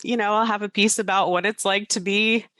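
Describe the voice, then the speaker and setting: A woman's voice: mid-range voice, fairly even intonation, fairly quick, fairly bright tone, no room sound. A woman co-hosting a podcast, talking casually and candidly about personal life with self-deprecating openness. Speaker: podcast co-host.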